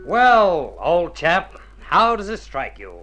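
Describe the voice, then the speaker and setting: An actor playing a hearty, reassuring country farmer and old pal in a radio play. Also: male voice, high voice, huge pitch swings, slow pace, sharp tone, a little hiss or hum, heavily acted. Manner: hearty, easygoing. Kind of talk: mid-century radio drama acting.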